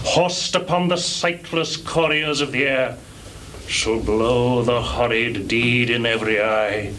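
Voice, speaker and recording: A male stage actor playing a tormented, guilt-ridden, ambitious murderer in a measured, weighty Shakespearean delivery with long pauses, recorded live in a theatre. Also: mid-range voice, noisy background, lightly acted.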